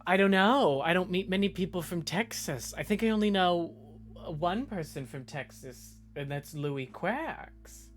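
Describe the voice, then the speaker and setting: A woman's voice: on the low side, animated, unhurried, slight background noise. A woman from the suburbs outside Boston with a light Boston accent, chatting casually with her stream chat and rambling through restarts.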